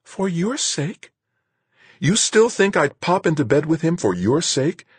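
A man performs a defiant, self-assured woman from an audiobook story, her voice lifted high and a little husky. She speaks firmly and sharply, with lively swings in pitch, like someone sure of her ground. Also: moderate pace, no room sound. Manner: firm, sharp.

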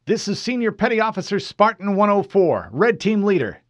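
A man's voice: high-pitched, very animated, moderate pace, no room sound, studio quality, pitched above the performer's own voice. A man voicing a disciplined male soldier who leads a squad and speaks in formal military radio talk. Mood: controlled.

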